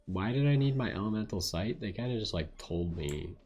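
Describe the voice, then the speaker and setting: Low-voiced man casually commentating a game. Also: expressive, a little fast, a little mellow, breathy edge. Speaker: game streamer.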